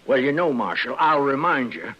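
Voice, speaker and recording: An American actor playing a stubborn, grudging old rancher in a 1950s radio western, with folksy, cantankerous rural speech. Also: male voice, mid-range voice, very animated, moderate pace. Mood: grumbling, defiant. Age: older. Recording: dull vintage radio recording.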